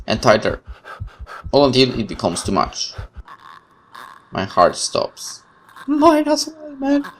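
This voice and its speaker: Deep-voiced, animated man reading horror dramatically. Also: rough recording. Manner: theatrical, expressive, swearing when reacting. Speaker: gaming video host reading a visual novel aloud. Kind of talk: dramatic read-aloud of horror game text with informal reactions. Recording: noticeable background noise.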